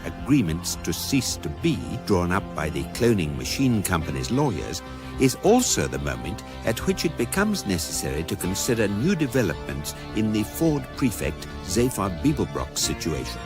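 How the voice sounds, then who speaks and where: Dry erudite narrator, very low expressive voice, deadpan, wordy comic science fiction, music behind. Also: male voice, moderate pace. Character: dry, erudite male narrator of absurd space guide.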